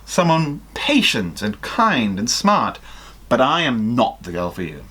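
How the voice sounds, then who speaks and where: Storybook teenage girl , read by a man: fed up, blunt, emphatic, lively pitch. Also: a little slow, breathy edge, exaggerated voice. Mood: restrained, firm. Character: exasperated teenage schoolgirl, blunt but trying to be kind.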